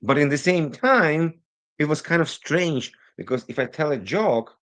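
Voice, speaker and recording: A man who grew up in Israel, speaking English as a non-native speaker, telling his story as a guest on a remotely recorded interview with animated, digressive delivery. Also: on the high side. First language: not English. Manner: animated, rushes through some phrases, digressive. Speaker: guest, cybersecurity consultant. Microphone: remote recording, virtual call.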